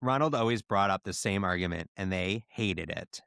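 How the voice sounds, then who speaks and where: A man's voice: sits low, moderate pace, gravelly, a bit bright, no room sound, clean studio sound. A man co-hosting a podcast, reading comedic fan fiction aloud with theatrical delivery.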